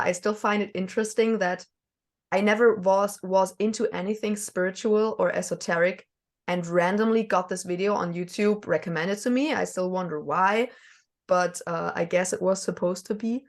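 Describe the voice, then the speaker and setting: A woman with a German accent talks casually as a podcast guest. She pauses often, fills gaps with small sounds and laughs softly. Her voice sits in an ordinary middle pitch. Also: fairly even intonation. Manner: hesitant, many fillers and soft laughs. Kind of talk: casual conversation.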